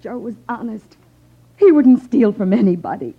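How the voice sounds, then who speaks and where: An actress playing an indignant, bitter widow with impassioned 1950s radio acting. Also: female voice, a little high, expressive, moderate pace, slightly rough. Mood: bitter, pleading. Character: bitter, defiant widow accusing gangsters. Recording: dull 1950s radio recording.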